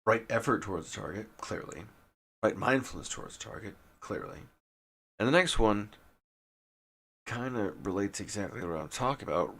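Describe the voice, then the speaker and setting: Man from Detroit, casual streamer monologue, irreverent and profane, lively bright voice. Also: on the low side. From Detroit, USA. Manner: profane, irreverent, laughs often.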